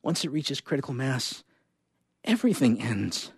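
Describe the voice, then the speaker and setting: Guy doing serious scientist husband in a scifi story, tender but grave, bit husky. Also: medium pitch, sing-song, moderate pace, dry recording, studio quality, voice pushed down, heavily acted. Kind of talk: dramatic short story reading.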